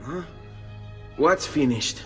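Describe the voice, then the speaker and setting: A man's voice: a little high, lively intonation, slow, a bit bright, faint music behind. An actor playing an impulsive, vengeful young Italian nobleman with an Italian accent in a video game. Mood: curious, impatient. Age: young adult.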